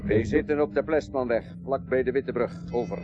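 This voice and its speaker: Man acting as urgent male patrol officer, radio drama, clipped reporting, muffled sound, loud background. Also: mid-range voice, a little fast, loud music behind the voice, close to an everyday voice. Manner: clipped radio procedure, pressing.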